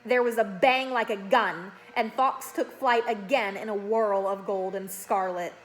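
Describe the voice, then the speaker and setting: A woman reading a children's fantasy novel aloud as an expressive, dramatic narrator. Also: mid-range voice, unhurried, faint music behind. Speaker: storybook reader.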